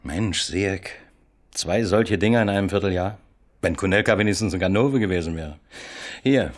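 A deep-voiced chief inspector acted by a man in a radio crime play. He is curt and commanding, with a gruff, sardonic manner and diction. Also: moderate pace, lightly acted. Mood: frustrated, sardonic. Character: gruff, pressured male chief police inspector leading murder inquiry.

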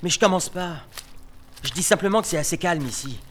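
A man's voice: fairly high pitch, expressive, a little fast, a bit bright, a little hiss or hum. A man playing a weary, complaining rookie resistance fighter in an audio drama, with a defensive manner. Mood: fed up, defensive.

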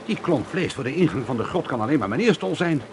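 An actor playing a dry, inquisitive teacher who turns amateur sleuth in a Dutch radio play, measured and wry. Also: male voice, on the high side, expressive. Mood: alert, wry. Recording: very noisy, muffled radio play recording.

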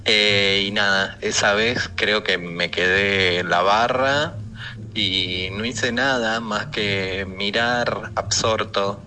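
A man with an Argentine accent telling a personal story haltingly in a voice message with faint noise behind. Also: fairly low pitch, steady tone, sharp tone. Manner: halting, pausing. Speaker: listener sending an audio message. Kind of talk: casual personal anecdote.

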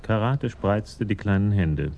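An actor narrating a German radio crime drama as the storyteller of a police tale. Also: male voice, fairly low pitch, animated, a little slow, darkish tone, lo-fi sound, a little hiss or hum.

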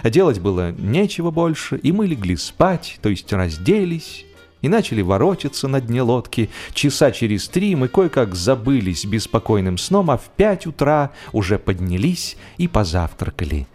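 A man's voice: mid-range voice, sing-song, normal speed, a little mellow. Theatrical male storyteller over music. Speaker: audiobook narrator. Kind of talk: expressive scripted narration of a humorous novel.

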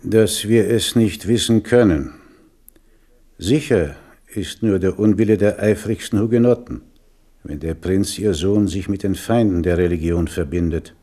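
An actor playing a stern, pious admiral in a 1970s radio drama, speaking in a measured, solemn way. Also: male voice, low-pitched, warm tone. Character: stern, pious old admiral and military leader.

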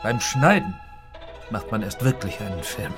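A man's voice: lowish voice, animated, normal speed, over loud music, close to an everyday voice. An actor in a German radio drama playing a composed, courteous film director, polite and placating, who instructs with devotion to his craft. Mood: focused.

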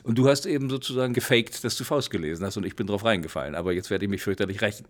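A man's voice: lowish voice, very fast. A middle-aged or older man, explaining things casually and discursively, thinking aloud as he goes. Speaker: drama lecturer and dramaturge.

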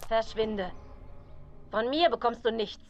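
An actress playing an impatient, sharp-tongued 19th-century housewife and mother in a radio play, with a harsh, commanding manner. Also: fairly high pitch, slow, dull audio. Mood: scornful.

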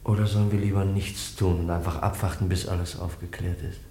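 An actor playing an earnest, troubled young man with a halting delivery in a 1950s German radio play. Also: low-pitched, level tone, dark tone, close to an everyday voice. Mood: earnest, protective. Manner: halting, repeats words when upset.

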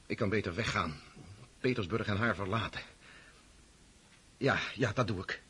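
An actor playing a brooding, self-doubting young count in a radio drama, speaking in a hesitant, introspective way. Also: male voice, fairly low pitch, normal speed, bright, forceful tone, breathy edge, exaggerated voice. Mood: anguished brooding. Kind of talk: radio drama acting with inner monologue.

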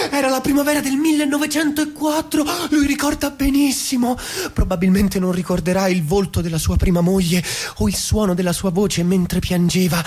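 An actor playing a philosophical, declamatory narrator in theatrical scripted narration, with a husky voice. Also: male voice, high voice, darkish tone. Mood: declamatory, excited. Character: philosophical, declamatory narrator musing on time and memory.

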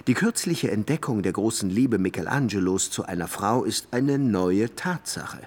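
A learned, courteous pedant in a literary radio play. His lowish voice swings very widely in pitch as he stretches words out with ponderous, pompous emphasis. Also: expressive. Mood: pompous, pedantic. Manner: pompous, deliberate, drawn-out emphasis. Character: pedantic, erudite male academic, ponderous and courteous. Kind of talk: German literary radio drama acting.

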